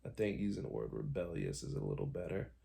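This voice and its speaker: Young man, born in Haiti, deep voice with heavy fry, candid confessional host. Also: sing-song, quick pace, mellow. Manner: frequent ums, candid, self-correcting. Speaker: podcast host telling his own story. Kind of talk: reflective personal storytelling.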